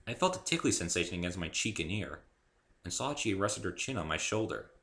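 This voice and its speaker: A man's voice: low voice, very animated, a little fast, a bit bright. A man giving a dramatic first-person reading of his own story, theatrical and emphatic.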